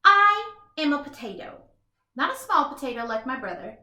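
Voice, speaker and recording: A woman acts out a comfort-loving couch potato from a picture book, very pleased with himself. The voice is high and bright, leaping about in theatrical exclamations. It sounds in an echoey room. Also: very animated, moderate pace, exaggerated voice. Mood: smug, content. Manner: exclamatory, theatrical, self-satisfied. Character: comfort-loving, boastful, easily thrilled male couch potato. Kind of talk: first-person children's storybook read-aloud.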